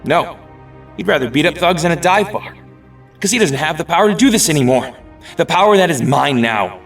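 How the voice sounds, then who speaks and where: A man's voice: fairly high pitch, expressive, fairly quick, fairly bright tone. A man playing a vengeful young superhero leader in an audio drama, bitter, defiant and intense. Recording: distant mic, faint music.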